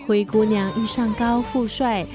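一位女演员在广播剧里饰演爱八卦、满脑子浪漫幻想的年轻闺蜜，带新加坡口音，语气夸张、爱唠叨。. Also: female voice, fairly high pitch, fairly even intonation, measured pace, music in the background, natural voice. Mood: dreamy, teasing. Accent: Singaporean. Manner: teasing, nagging, dramatic exclamations. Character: gossipy, romance-obsessed young woman, best friend. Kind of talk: radio drama dialogue.

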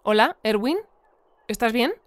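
An actress with a Spain accent playing an earnest, polite news bulletin presenter in an audio fiction mock newscast. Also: female voice, a little high, animated, unhurried, no room sound. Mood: puzzled, concerned. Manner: polite, hesitant when questioning.

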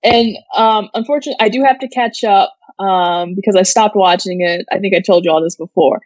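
A woman's voice: medium pitch, a little fast, a little mellow, dry recording, lo-fi sound. A woman co-hosting a pop culture show in casual, informal, self-deprecating chat over a video call.

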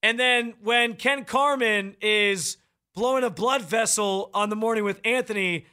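A man hosting sports radio, his high, bright voice pushed hard and projected into a close studio mic. He talks with loud, fired-up opinions. The sound is full and forceful. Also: unhurried. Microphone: studio microphone, close.

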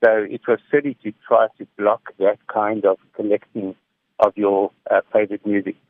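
A middle-aged man gives measured, explanatory answers in a reflective radio interview over the phone. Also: on the low side, little pitch movement, gravelly, dry recording. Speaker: interviewed music technology commentator. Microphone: phone call. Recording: tinny, narrow phone line.

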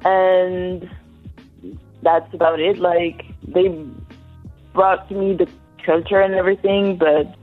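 A young woman from France answering interview questions in English, soft-spoken and halting, with pauses. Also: fairly low pitch, flat delivery, soft background music. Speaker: guest, athlete. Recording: tinny phone-like line.

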